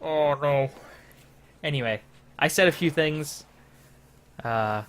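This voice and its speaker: A man's voice: on the high side, slow pace, fairly bright tone. A man giving casual, rambling, wry gameplay commentary, sounding bewildered. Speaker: host of a gaming video series. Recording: truck game engine noise, radio play underneath. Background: truck driving game and radio drama.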